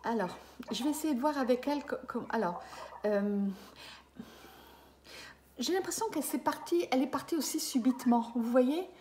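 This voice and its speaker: A woman's voice: sits high, lively intonation, normal speed, long pauses, mellow, slight background noise. A woman in her fifties, a medium giving a reading over webcam, hesitant with many fillers and checking in with her listener. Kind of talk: live reading session with a client. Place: small room indoors. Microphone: webcam at desk.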